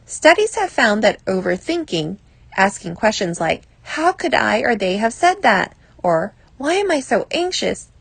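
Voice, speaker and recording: A woman reading a self-help article aloud as scripted narration, in a measured, clearly phrased way. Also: fairly high pitch, big pitch swings, fairly bright tone, no echo.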